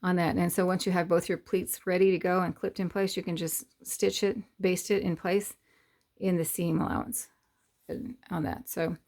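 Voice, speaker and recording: A middle-aged woman, hoarse from losing her voice, hosts a casual sewing tutorial and explains her steps in a rambling, hesitant way with many fillers. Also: fairly low pitch, little pitch movement, normal speed, a little mellow. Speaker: sewing channel host.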